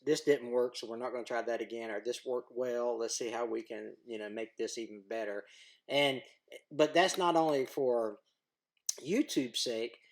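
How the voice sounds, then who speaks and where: A plain-spoken man talking casually as a podcast guest, laughing easily and joking about himself. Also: mid-range voice. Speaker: guest, YouTuber. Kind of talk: casual conversation.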